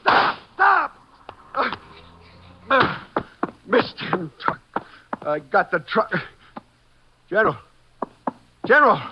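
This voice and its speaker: An actor playing a folksy small-town Texas cafe owner in a 1950s radio drama, with a Texan accent. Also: male voice, sits high, wildly swooping pitch, takes it slowly, long pauses, full character voice. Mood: frantic. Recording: noisy, muffled old-time radio recording.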